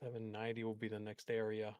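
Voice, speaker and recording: A man's voice: lowish voice, completely flat delivery, fast talker, darkish tone, smooth, no room sound, dull audio. A man hosting a live trading stream, talking through charts and chatting with an easy laugh. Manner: chatty, laughs easily, thinks aloud. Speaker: host trader.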